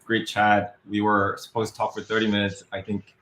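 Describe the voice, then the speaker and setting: Man, Korean accent, hesitant with fillers, low-pitched, roomy echo, video call. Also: monotone, moderate pace, fairly bright tone, a little hiss or hum. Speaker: startup advisor and guest. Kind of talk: casual webinar conversation.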